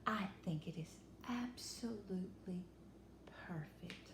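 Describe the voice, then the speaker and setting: A woman voicing a kind, dreamy storybook music teacher with a deliberate, emphatic, drawn-out delivery. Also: medium pitch, natural voice. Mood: proud, gentle. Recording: roomy echo, faint background noise.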